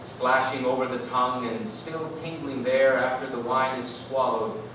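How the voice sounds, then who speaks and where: In a very reverberant room, away from the mic with noise behind him, a man reads his literary work aloud. His delivery is lyrical and animated, with deliberate, expressive phrasing at a middling pitch. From Canada. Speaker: author reading own work. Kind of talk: expressive literary reading to an audience. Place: live reading event in a room. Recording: echoey noisy room, distant mic.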